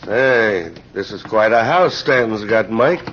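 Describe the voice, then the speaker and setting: An actor playing a gruff, sceptical male police inspector in an old radio mystery, talking in a clipped, colloquial way. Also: on the low side, expressive, a little slow. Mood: wry suspicion. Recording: dull, noisy old radio recording.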